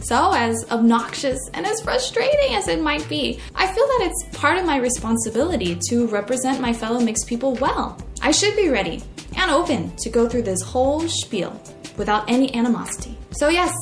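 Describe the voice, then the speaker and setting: Young american woman telling a personal story podcast style, really animated, echoey room w faint music. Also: sits high, moderate pace. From United States. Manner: expressive, acts out quoted remarks. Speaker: podcast host. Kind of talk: scripted personal essay narration. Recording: roomy echo, faint music.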